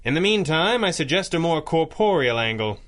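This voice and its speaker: Cool, dryly skeptical detective boss. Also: male voice, fairly high pitch, sing-song, fairly bright tone. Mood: dry skepticism. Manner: measured, formal, dryly skeptical. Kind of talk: 1930s-style radio drama acting.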